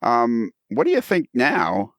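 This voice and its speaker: A man's voice: medium pitch, huge pitch swings, slow pace, a bit bright, dry recording. A man hosts a casual podcast in a mid-range voice, recorded dry. He talks in a folksy, teasing way and tends to repeat his points. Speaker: podcast host.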